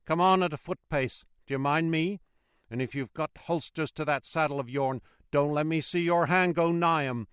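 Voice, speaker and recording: A man voicing a gruff, suspicious, armed coach guard in a storybook reading, blunt and challenging. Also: mid-range voice, normal speed, a bit bright, dry recording, studio quality, natural voice. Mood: stern warning.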